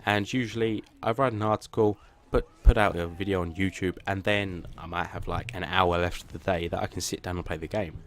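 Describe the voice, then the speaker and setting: A man's voice: low-pitched, brisk, hardly any pauses. Plain-voiced man rambling to viewers. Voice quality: flat, plain voice. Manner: rambling and hesitant, many restarts; self-deprecating. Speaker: gaming channel host. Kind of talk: casual channel update to viewers.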